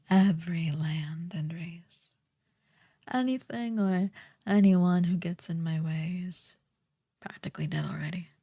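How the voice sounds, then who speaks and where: Woman acting a slow, breathy, imperious evil queen. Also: lowish voice, full character voice. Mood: scornful, ruthless. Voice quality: soft, breathy, partly whispered. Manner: slow, condescending, commanding. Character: imperious evil sorceress queen, haughty, menacing and ruthless. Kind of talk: ASMR fantasy roleplay acting.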